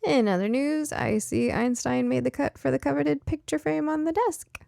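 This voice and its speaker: A woman voicing a cheerful, caring mother in an audiobook story, gently teasing and reassuring. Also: sits high, normal speed, vocal fry, dry recording, studio quality, voice pushed up. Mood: fond, teasing. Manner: chuckling, reassuring, coaxing.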